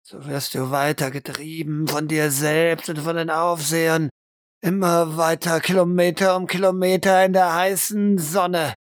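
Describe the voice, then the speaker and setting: A man's voice: on the high side, little pitch movement, unhurried, dry recording, clean studio sound. A man narrating an audio role-play as game master, dramatically and intensely, in short repeated phrases. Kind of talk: dramatic second-person narration.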